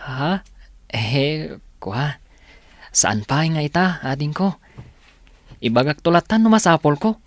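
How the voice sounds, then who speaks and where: A man's voice: a little high, animated, takes it slowly, pauses often, no echo. A man playing a shy, hardworking young student with an Ilocano Filipino accent in a comedy radio drama, speaking in a bashful, hesitant way. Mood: sheepish. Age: young adult.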